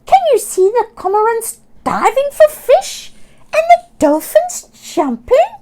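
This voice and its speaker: Sammy the shrill seagull. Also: female voice, wildly swooping pitch, takes it slowly, exaggerated voice. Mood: excited. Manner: shrill, loud and bubbly. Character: excitable squawking female seagull, chatty and friendly.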